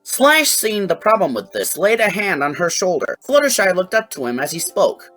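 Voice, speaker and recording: Animated narrator reading fan fiction. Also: medium pitch, normal speed, soft background music.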